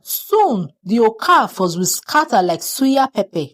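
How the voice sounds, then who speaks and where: Woman putting on a high, gloating wicked witch. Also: big pitch swings, measured pace. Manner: gloating, theatrical.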